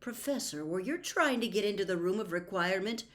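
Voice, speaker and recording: Female reader as teenage schoolboy, storybook hero. Earnest, blunt, insistent, very animated pitch. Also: natural voice. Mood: curious, concerned. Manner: insistent, quick questioning. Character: earnest, urgent, blunt teenage schoolboy questioning his teacher.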